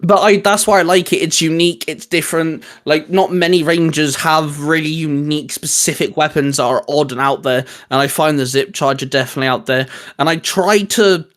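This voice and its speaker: A man with a slightly high voice chats casually as a show host. He rambles through his geeky points, often padding them with "you know" as he goes. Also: fairly even intonation, a little fast, few pauses, fairly bright tone. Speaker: host of a hobby discussion show.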